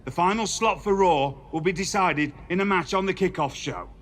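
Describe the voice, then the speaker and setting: A stern, paternal older male boss in a wrestling video game. His voice sits a little high for a man, and he speaks formally and measuredly, admonishing like a mentor. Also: moderate pace, a bit bright, a little hiss or hum. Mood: encouraging, grave. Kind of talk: dramatic video game cutscene dialogue.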